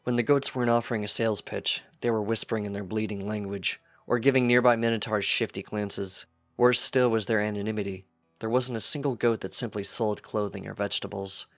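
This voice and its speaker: A man's voice: fairly low pitch, fairly even intonation, a little fast, a bit bright, dry recording, faint music behind. A man reading a piece of fiction aloud as scripted narration.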